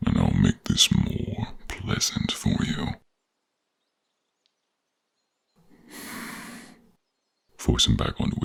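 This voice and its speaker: A cruel, imperious king from a fantasy audio drama. His voice sits extremely low and rumbles with gravel. He gives orders coldly and deliberately, with steady threat. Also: exaggerated voice. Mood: cold menace. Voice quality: deep, husky, breathy, gravelly. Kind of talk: fantasy audio role-play acting.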